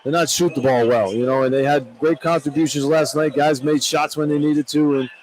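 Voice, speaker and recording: A man's voice: on the high side, fairly even intonation, fairly quick, a little mellow, rough recording. A man giving unscripted game analysis as a radio color commentator, repeating phrases as he makes his points, courtside in an arena. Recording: arena broadcast over crowd noise.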